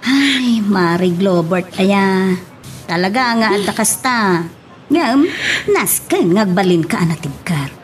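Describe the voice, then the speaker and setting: A woman's voice: mid-range voice, expressive, slow, faint music behind. A woman acting emotional, outspoken characters in an Ilocano audio drama. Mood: consoling, advising.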